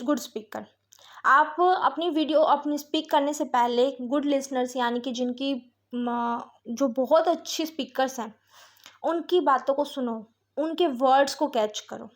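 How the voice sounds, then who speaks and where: A woman with an Indian accent teaching a lesson, reading out points and explaining them with frequent little check-in phrases. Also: high voice. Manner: mixes Hindi with English terms, often checks understanding with tag phrases.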